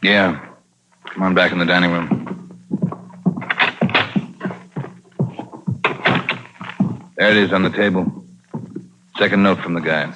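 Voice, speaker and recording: A man's voice: low-pitched, fairly even intonation, moderate pace, fairly bright tone. A man playing a firm, decisive police chief, terse and commanding, in 1940s radio drama style. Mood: terse, resolute. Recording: muffled, noisy old radio recording.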